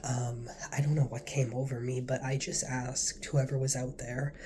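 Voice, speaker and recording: Man, congested and stuffy, earnest rambling testimony, flat delivery, whispery at times. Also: mid-range voice, moderate pace, background noise. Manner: earnest, rambling, heavy with fillers. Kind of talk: casual spoken testimony to camera.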